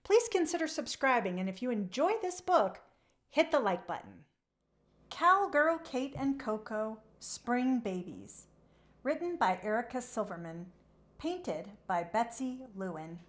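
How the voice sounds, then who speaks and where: A woman who hosts children's read-alouds, narrating a storybook expressively in a measured, animated way. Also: fairly high pitch.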